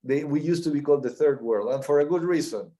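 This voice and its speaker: A middle-aged man from Peru with a Peruvian Spanish accent, answering interview questions in a measured way, often restarting and repeating words. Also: fairly high pitch, dark tone. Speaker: mining company CEO.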